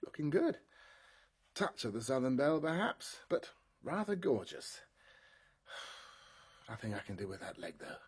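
A man playing a fussy, quick-tempered inventor who fiddles with his robot and barks orders at it. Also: medium pitch, big pitch swings, breathy edge, exaggerated voice.

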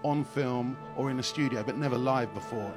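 A man co-hosting live radio from a concert hall, casual and relaxed. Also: medium pitch, moderate pace. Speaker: radio presenter. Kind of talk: live radio broadcast chat. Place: concert hall beside the orchestra. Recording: live broadcast with orchestra music behind.

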